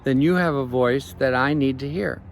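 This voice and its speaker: A man, a life coach, speaking slowly and reflectively in an evening vlog recorded outdoors on a phone. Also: mid-range voice, creaky, slight background noise. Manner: slow, measured, reflective.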